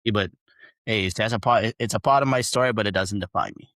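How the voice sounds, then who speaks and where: Earnest young Bahamian man, lowish voice. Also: fast talker, a bit bright, no room sound, clean studio sound. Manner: earnest, long rambling sentences with casual fillers. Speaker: podcast guest, trader. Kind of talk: casual interview storytelling.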